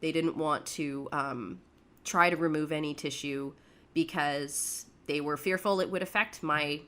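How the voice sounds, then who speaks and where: Young woman from canada telling her own story on a podcast, lowish voice, laughs at herself a lot. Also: fairly even intonation, measured pace, fairly bright tone. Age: young adult. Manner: candid and chatty, laughing at herself. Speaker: podcast co-host. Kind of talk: casual personal storytelling.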